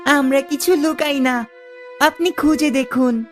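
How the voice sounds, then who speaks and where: A woman's voice: high-pitched, fairly even intonation, a little slow, soft background music. A woman voicing a plucky, honest poor village boy in a cartoon family drama. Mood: earnest.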